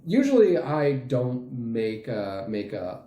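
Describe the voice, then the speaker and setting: A man in his late twenties giving a casual channel update in a halting, rambling way. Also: medium pitch, sing-song, mellow. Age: late 20s. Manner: halting, rambling, frequent chuckles mid-sentence. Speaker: channel host and fan dub group leader. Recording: roomy echo, faint music behind.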